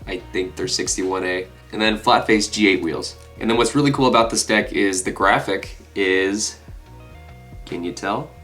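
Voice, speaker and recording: A man's voice: on the low side, normal speed, a bit bright, soft background music. A man in his twenties, congested with a cold, chatting casually to camera as he shows off a collection. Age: 20s. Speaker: video creator. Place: small furnished room indoors.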